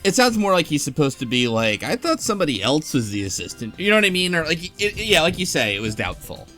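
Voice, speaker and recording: A man's voice: on the high side, big pitch swings, quick pace, pushed and bright. A man chatting in a rambling, informal way as a podcast panelist recapping a story. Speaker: book club podcast panelist. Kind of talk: casual chat recapping a game's story. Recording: music playing behind the talk. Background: music.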